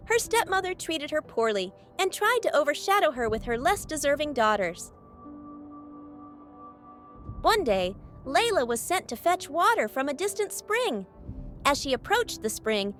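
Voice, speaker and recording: A woman's voice: very high-pitched, fairly bright tone. A woman narrating a scripted fairy tale as a storyteller.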